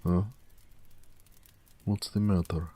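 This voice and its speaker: A man voicing a gentle, peace-loving orc blacksmith in an intimate romantic audio roleplay, speaking tenderly and softly with a wistful air. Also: low voice, level tone. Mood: wistful, hushed. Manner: soft, measured, tender delivery with hushed moments.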